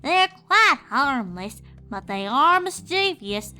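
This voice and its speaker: A woman voicing a kindly old granny pony, knowing and reassuring, in a slow folksy manner. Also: sits high, very animated, pushed and bright, faint music behind, voice pushed up, heavily acted. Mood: amused, reassuring.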